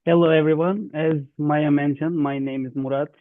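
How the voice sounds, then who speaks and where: A man with a Turkish accent explains instructions to an online group, halting and repeating himself in non-native English. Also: on the high side, steady tone, dry recording. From Turkey. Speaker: youth webinar facilitator. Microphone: video call. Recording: thin, dry phone-like online call.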